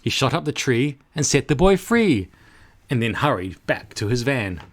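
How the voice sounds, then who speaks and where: A man reading a rhyming children's story aloud in an expressive, rhythmic, dramatic way. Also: mid-range voice, normal speed.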